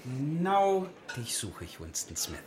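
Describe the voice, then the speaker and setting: An actor playing an eager comrade in a dystopian radio drama, drawling in an animated manner. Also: male voice, mid-range voice, takes it slowly. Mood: eager greeting.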